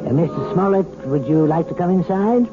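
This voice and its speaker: An actor playing a calm male hypnotist who talks in a soft, measured, persuasive way, in a 1970s radio drama. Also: sits high. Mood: coaxing. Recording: tinny old radio broadcast with music.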